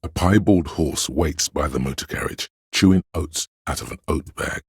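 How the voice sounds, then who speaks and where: A man playing a grave, all-knowing inner voice of police camaraderie in a video game, narrating in a solemn, measured, literary way with a gravelly, husky tone. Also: a bass voice, dry recording, heavily acted. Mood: brooding, measured.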